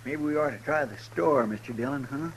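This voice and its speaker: A man's voice: medium pitch, brisk, slightly rough. A man playing a folksy, deferential deputy sidekick with a rural Western American drawl, hesitant and puzzled, in an old radio western. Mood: hushed unease. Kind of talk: 1950s radio western acting. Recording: noisy vintage radio recording.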